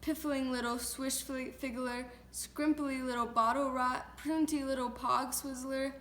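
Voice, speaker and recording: A woman voicing a huge brutish male giant who shouts and bullies. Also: steady tone, unhurried, echoey room. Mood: insulting. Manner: shouting, booming.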